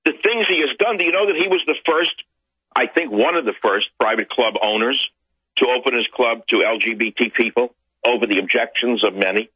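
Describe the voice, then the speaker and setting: Husky, indignant male pundit. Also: mid-range voice, brisk, no echo, highs cut off. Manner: indignant, ranting, climbs to loud outbursts. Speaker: guest commentator. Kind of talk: political talk show interview.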